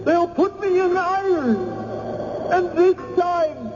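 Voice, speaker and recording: Very high, frightened fugitive. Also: male voice, takes it slowly. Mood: fearful pleading. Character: frightened fugitive dreading the hangman, pleading. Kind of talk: old-time radio drama acting. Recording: muffled, noisy off-air radio recording.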